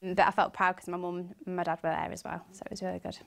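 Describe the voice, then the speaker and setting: A woman's voice: on the low side, level tone, quick pace, creaky, fairly bright tone. A young woman, a primary school teacher, speaking informally in an interview.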